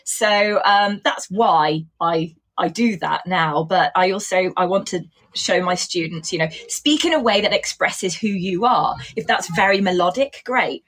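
A woman's voice: on the low side, lively intonation, measured pace, a bit bright, slightly rough. A woman who teaches English, talking in a casual interview, with a mixed English accent that still holds traces of Coventry. She explains her points thoughtfully and often says "you know". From England.

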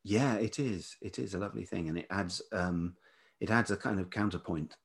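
A man's voice: low-pitched, moderate pace, vocal fry, husky. A middle-aged man with a velvety voice telling anecdotes as an interview guest, hesitantly piecing his thoughts together. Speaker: podcast guest, writer and producer. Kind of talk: reflective anecdotal interview talk.

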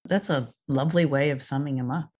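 Woman as podcast interviewer: measured introductions and brief questions to a guest. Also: low-pitched, very noisy. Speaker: podcast host, writer and producer.

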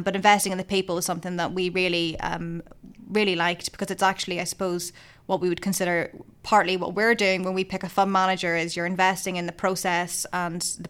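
A woman in a relaxed business conversation about fund investments, speaking in a measured way with frequent ums. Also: lowish voice, clean tone. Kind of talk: casual business discussion.

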